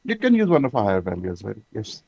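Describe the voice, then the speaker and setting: A man's voice: lowish voice, wildly swooping pitch, fast talker, a little mellow, slight background noise. A man acting as game master in casual tabletop talk, informal and given to casual swearing.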